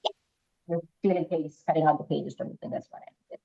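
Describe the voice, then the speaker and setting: A woman's voice: low voice, fairly even intonation, fast talker, creaky, mellow. A woman hosting a quiz, reading questions aloud and moderating informally. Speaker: quiz host. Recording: dull lo-fi online call audio.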